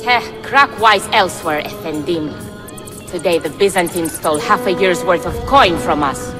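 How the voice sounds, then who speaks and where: A woman's voice: on the low side, moderate pace, lightly acted. An actress playing a proud, sly Romani woman leader in a video game, speaking in a pointed, theatrical way. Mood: bitter, defiant. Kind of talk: cinematic video game acting. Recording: music behind the voice.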